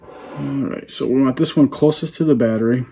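A man in his thirties with a husky voice, calmly talking himself through a hands-on install at a table indoors, often half under his breath. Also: medium pitch, normal speed. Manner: relaxed, muttering step by step, often half-whispered. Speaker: fishing channel host. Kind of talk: casual how-to narration while working.

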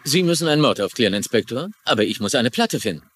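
A man's voice: medium pitch, big pitch swings, fast talker, fairly bright tone, slightly rough. A man playing a grave government agent, explaining a secret case urgently in a dubbed crime drama.

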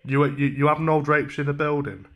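Deadpan young Northern English man, bright voice. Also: fairly high pitch, brisk. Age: 20s. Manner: mock-earnest, deadpan, hesitant. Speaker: prank caller, livestreamer. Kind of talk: casual prank phone call. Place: small room at home. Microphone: desk microphone on a stand.

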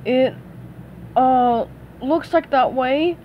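A woman reading aloud and voicing a slow-witted, easygoing male starfish, close to her own voice. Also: sits high, lo-fi sound, slight background noise. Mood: solemn.

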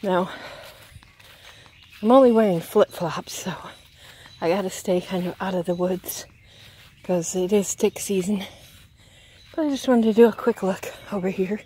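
A woman's voice: mid-range voice, a little slow, long pauses, warm tone. A woman vlogger chatting casually and musing aloud in a rambling way, recorded outdoors on a handheld camera. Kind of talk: casual walking vlog chat. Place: outdoors on a wooded gravel driveway and yard. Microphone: handheld phone or camera. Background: clear outdoor ambient noise.

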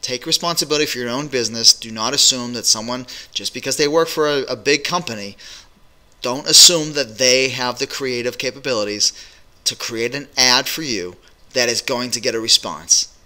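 Bright-voiced middle-aged man giving business advice. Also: medium pitch, moderate pace. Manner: energetic, direct, many fillers. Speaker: business coach. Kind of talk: direct-to-camera business advice vlog. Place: small home office indoors. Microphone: webcam at desk.

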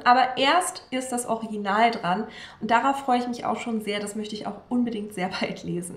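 A woman's voice: on the high side, roomy sound. A young woman vlogger talking enthusiastically to camera about her favourite classics, with frequent fillers, recorded at desk distance in front of bookshelves. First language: German. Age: young adult. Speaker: book vlogger. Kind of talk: casual book talk to camera. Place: room with bookshelves behind her.

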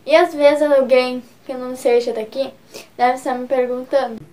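Chatty high-voiced gaúcha vlogger. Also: female voice, fairly even intonation, moderate pace, a little mellow. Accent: Gaúcho Brazilian Portuguese. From Rio Grande do Sul, Brazil. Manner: chatty, filler-heavy, gesturing a lot with both hands. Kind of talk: casual vlog explaining regional slang.

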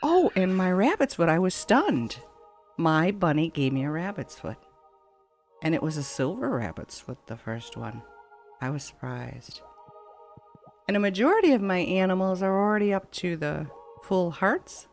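Woman doing chatty lets play commentary, kinda low voice, some game music behind her. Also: sing-song, moderate pace, darkish tone. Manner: chatty, rambling, conversational. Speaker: gaming channel host. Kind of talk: casual let's play commentary. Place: voice-over on game footage.

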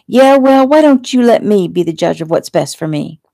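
A woman's voice: lowish voice, moderate pace, gravelly, warm tone, no echo, clean studio sound. A woman narrator voicing a demanding, clingy young fiancée in an audiobook, theatrical, pleading and indignant. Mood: shrieking, furious.

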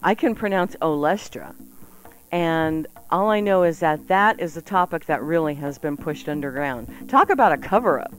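A woman's voice: sits low, expressive, moderate pace. A woman co-hosting a radio show in a casual, bantering style, playful and theatrical, given to exclamations. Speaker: radio co-host. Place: radio studio. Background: music.

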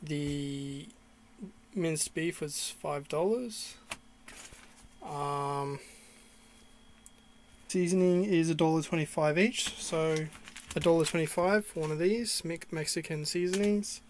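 A man's voice: on the high side, slow pace, long pauses, slight background noise. An Australian man talking casually through a cooking walkthrough inside a small van, with many ums and restarts. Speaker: vlogger.

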